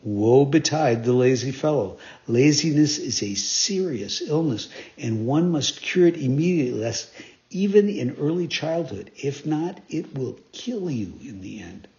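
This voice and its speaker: A man voicing a kind, patient, motherly fairy who speaks gently and instructs calmly. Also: mid-range voice, a little slow. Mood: admonishing. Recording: dull lo-fi recording.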